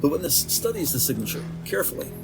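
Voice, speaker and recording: Male narrator, fairly high voice, scripted dramatic storytelling, music underneath. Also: sing-song, fast, vocal fry, low-quality recording. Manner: descriptive, theatrical storytelling. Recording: music behind the voice.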